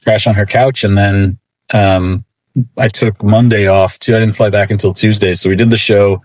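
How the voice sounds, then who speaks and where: Low-pitched man in casual interview. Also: steady tone, normal speed, a little mellow, poor audio. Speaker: interview guest, comic book writer.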